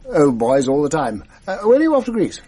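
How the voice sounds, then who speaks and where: An actor playing a genial, chatty retired schoolmaster, older, in a 1980s radio drama. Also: male voice, fairly high pitch, huge pitch swings, a little fast, dark tone, husky, exaggerated voice. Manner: genial, chatty, old-fashioned.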